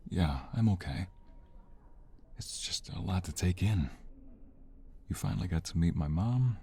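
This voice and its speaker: A man playing a tender, devoted mobster husband in an ASMR romance roleplay, speaking in a hushed, earnest, husky, gravelly voice. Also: deep voice, heavily acted. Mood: overwhelmed, relieved. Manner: earnest, sentimental, hushed, unhurried.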